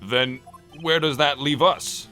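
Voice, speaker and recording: A man voicing a pompous, easily puzzled elderly courtroom judge, deliberate and bemused, in a game fan dub. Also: a little high, sharp tone. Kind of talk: fan-dub visual novel voice acting. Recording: voice over game music. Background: game music.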